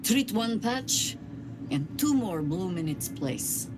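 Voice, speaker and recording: A woman voicing a seasoned older adventurer in a video game, steadfast and resolute, speaking in a measured, earnest and grave manner. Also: medium pitch, very animated, noisy background. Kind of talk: video game voice acting, dramatic dialogue.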